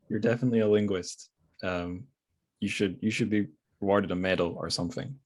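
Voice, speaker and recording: A man's voice: on the low side, fairly even intonation, moderate pace, darkish tone. A man hosting a casual literary interview, thinking aloud in a hesitant, rambling way. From Scotland. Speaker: podcast host.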